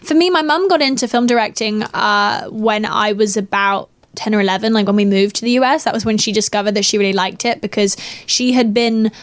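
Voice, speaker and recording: A woman's voice: mid-range voice, a little fast, smooth. A young woman from England with an English accent, chatting as an actress guest in an interview, upbeat and self-deprecating. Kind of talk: casual interview chat.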